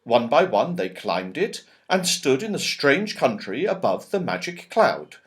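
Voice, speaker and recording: Male audiobook narrator for children's fiction, unhurried, very animated delivery. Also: mid-range voice. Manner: lively, expressive reading with character voices. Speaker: audiobook reader.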